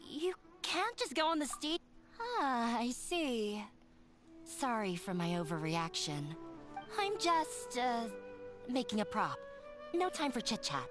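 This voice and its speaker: A woman's voice: fairly high pitch, sing-song, takes it slowly, fairly bright tone, exaggerated voice. An actress playing a shy, self-doubting young woman who talks nervously and hesitantly, over game music. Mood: flustered.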